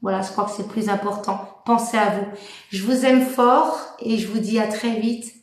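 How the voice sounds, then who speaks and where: A woman from France, a medium hosting a live stream, chatting warmly and rambling with many fillers and restarts. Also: medium pitch, steady tone, normal speed, roomy sound. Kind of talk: casual live-stream chat.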